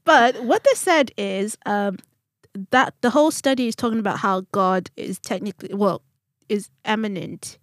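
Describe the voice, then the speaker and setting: A woman's voice: medium pitch, moderate pace, dry recording, clean studio sound. A woman from Zimbabwe co-hosting a Bible study podcast in casual conversation, acting out remarks and asking rhetorical questions. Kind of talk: casual conversational religious discussion.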